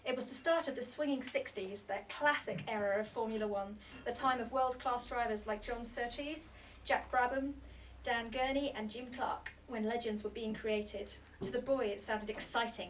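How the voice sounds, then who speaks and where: A woman's voice: on the high side, steady tone, normal speed. A woman reading a novel aloud as scripted narration, at a book launch. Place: indoor bookshop launch party. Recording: distant mic in a noisy room.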